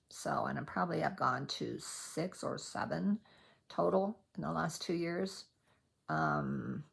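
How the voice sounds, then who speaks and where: Casting: middle-aged Midwestern American woman, lowish husky voice, rambling casual vlogger delivery. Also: fairly bright tone. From Colorado, United States. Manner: rambling, often backtracks mid-thought. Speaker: needlework vlogger. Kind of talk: casual chatty vlog recap.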